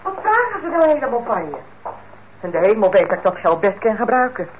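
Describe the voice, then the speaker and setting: A woman's voice: a little high, big pitch swings, a little fast. An actress playing a bitter, manipulative mother nearing forty in a radio play, nagging and wheedling. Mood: scornful, nagging. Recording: dull, noisy old radio recording.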